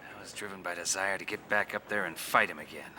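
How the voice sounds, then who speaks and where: Male game role: easygoing veteran flyer, lowish yet forceful voice, wry storyteller, faint ambient noise. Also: steady tone, a little fast. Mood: wry, nostalgic. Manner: wry, conversational. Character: plucky, self-mocking former fighter pilot. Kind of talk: storytelling interview acting.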